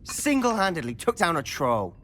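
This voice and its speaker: A young actor playing a cautious, curious wizard schoolboy in a game, brisk and concerned. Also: male voice, fairly high pitch, very animated, bright, forceful tone, exaggerated voice. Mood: friendly.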